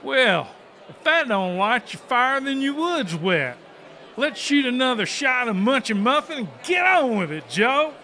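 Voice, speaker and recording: High-pitched goofy backwoods muscleman. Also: very animated, a little slow, bright, forceful tone, exaggerated voice. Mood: eager, cocky. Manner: folksy, goofy bragging. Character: dim, cocky, cheerful backwoods muscleman sidekick. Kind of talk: comic audiobook dramatization.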